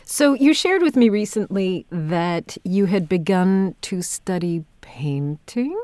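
Lively, dry-miked woman interviewer. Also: medium pitch, takes it slowly, dry recording. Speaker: podcast host, interviewer. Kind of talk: casual conversational interview.

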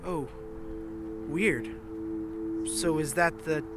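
A man's voice: on the high side, very slow, loud music behind the voice. An actor playing an earnest, uneasy young man in a naturalistic audio drama, hesitant and anxiously repeating himself. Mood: puzzled. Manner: hesitant, repetitive, anxiously reassuring. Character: Griffin, earnest, uneasy young son reassuring his friend.

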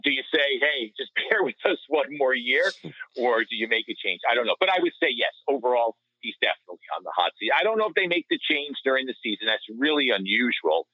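A man co-hosting a sports podcast, discussing things casually in a measured, conversational manner. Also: medium pitch, lively intonation, no echo. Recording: slightly dull lo-fi audio.

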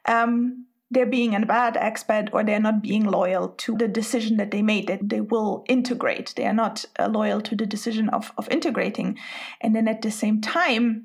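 A woman from Spain, hosting a podcast interview and thinking aloud in a reflective way. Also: fairly high pitch. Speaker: podcast host.

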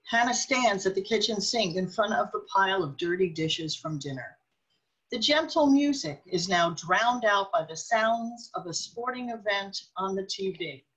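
A woman reading scripted narration for a radio drama in a measured storytelling manner. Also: medium pitch, a bit bright, breathy edge, lo-fi sound.

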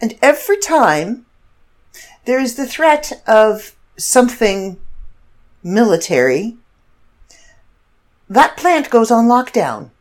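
Congested woman rambling, lively pitch. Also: slow, pauses often. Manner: rambling, self-correcting storyteller. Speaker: podcast host. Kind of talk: casual solo chat.

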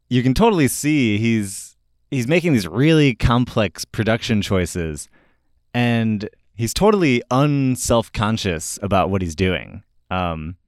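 A man's voice: mid-range voice, no echo, studio quality. A man hosting a music review show, talking casually and enthusiastically about albums in an informal, opinionated way. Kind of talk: casual album recommendation talk.

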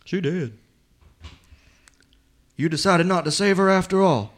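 Man putting on a shrill, pleading young woman. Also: a little slow, noisy background. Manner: melodramatic, pleading. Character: pleading pregnant woman in a radio play. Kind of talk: campy radio-play acting.

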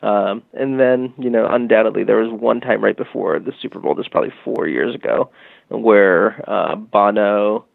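Man guest, low gravelly voice, rambling storyteller, phone-quality dry audio. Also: normal speed, dry recording. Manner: rambling, many fillers and "you know". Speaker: guest, tech company executive. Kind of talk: casual storytelling interview.

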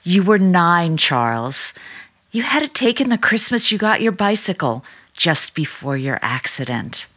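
A woman's voice: fairly low pitch, very animated, measured pace, a bit bright, heavily acted. An actress playing a doting, trusting middle-aged sister and small-town mother in an old-time radio drama, chatty and earnest. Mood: fond insistence.

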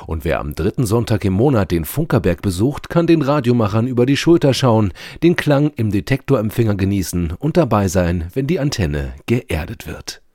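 A man's voice: fairly low pitch, big pitch swings, moderate pace, few pauses, darkish tone, dry recording, studio quality. A man reading a scripted station announcement.

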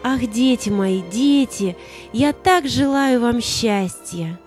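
A woman's voice: a little high, animated, a little mellow, faint music behind. A woman playing a tender, radiant young mother, the spirit of maternal love, in an audio play. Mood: tender, loving. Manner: tender, unhurried, caressing address.

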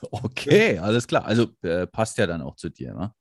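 A man's voice: lowish voice, huge pitch swings, moderate pace, husky, dry recording, clean studio sound. A man hosting a casual interview chat, joking and laughing. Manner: chatty, joking, frequent laughs and fillers. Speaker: podcast host.

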